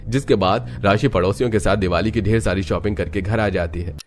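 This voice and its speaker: A man's voice: fairly low pitch, brisk, a little hiss or hum. A man narrating a scripted family cartoon story as its storyteller. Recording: music bed under the voice.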